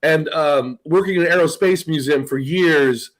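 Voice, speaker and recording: A middle-aged man hosting a casual podcast chat, talking in an animated, loose way and swearing freely. His voice sits a bit high for a man. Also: normal speed, poor audio. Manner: animated, swears, laughs often. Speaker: podcast host.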